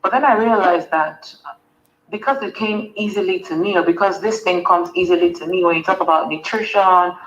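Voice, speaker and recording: A woman's voice: lowish voice, a little fast, muffled recording, a little hiss or hum. A Nigerian woman, a wellness coach, earnestly answering summit interview questions and repeating words for emphasis.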